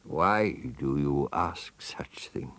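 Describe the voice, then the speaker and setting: A man voicing a sly, menacing crocodile in a children's storybook, coaxing in drawn-out words. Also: extremely low pitch, lively intonation, vocal fry, a bit bright, full character voice.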